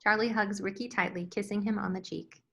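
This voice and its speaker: A woman's voice: mid-range voice, steady tone, brisk, creaky, breathy edge. A woman reading scene directions as scripted narration at a screenplay table read. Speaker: stage directions reader.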